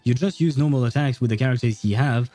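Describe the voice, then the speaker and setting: A man's voice: mid-range voice, quick pace, warm tone, no room sound. A man livestreaming a game, explaining and commenting in a casual, informal, opinionated way. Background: game music.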